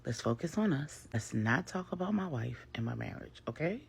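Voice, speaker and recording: Curt, husky cheating husband voiced by a storyteller. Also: medium pitch, expressive, moderate pace, full character voice. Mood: curt, evasive. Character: evasive cheating husband, adult man, curt.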